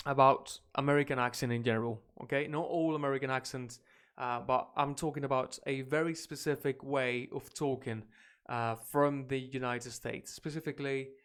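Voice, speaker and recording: Spanish-born English teacher on a live stream, British-leaning accent, lively chatty delivery, mid-pitched voice. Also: male voice, smooth. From Spain. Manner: energetic, chatty, reacting to clips.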